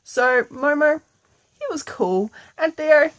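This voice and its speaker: Casting: female storyteller, higher-pitched, playful and informal, making up a bedtime tale as she goes. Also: animated, takes it slowly. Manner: playful, slangy. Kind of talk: improvised casual bedtime storytelling.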